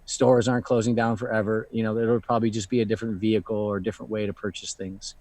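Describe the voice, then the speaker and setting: A man's voice: on the low side, level tone, quick pace, darkish tone, no echo. A man in his thirties, a luxury fashion founder and guest, talking casually and reflectively, with many "you know" fillers. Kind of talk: casual live online Q&A.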